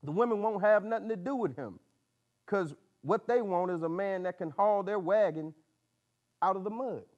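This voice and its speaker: A man voicing a gruff, blunt old mentor in a folksy, plain-spoken way for a dramatic story reading. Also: sits high, normal speed, darkish tone, lo-fi sound, pitched above the performer's own voice, natural voice. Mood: preaching, blunt.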